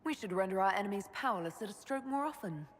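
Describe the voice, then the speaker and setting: An actress playing a composed, supportive female leader in a fantasy game, calm and measured, with a husky voice. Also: medium pitch, lightly acted. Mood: encouraging. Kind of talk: dramatic game voice acting. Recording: long reverb.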